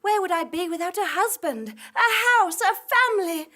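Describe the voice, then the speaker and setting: Woman playing a high, bright, fretful young heroine. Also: lively intonation, moderate pace, heavily acted. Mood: despairing. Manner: fretful, melodramatic. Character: gentle, anxious young farm woman, engaged, dutiful. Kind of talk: live comedy radio-play acting. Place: theatre stage, live performance.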